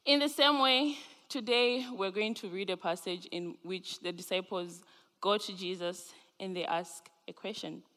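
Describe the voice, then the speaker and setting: A woman's voice: mid-range voice, a little slow, pushed and bright. A young woman from Zambia, a senior student, giving an earnest chapel sermon with light laughs. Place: large chapel hall. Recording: live chapel hall with long reverb.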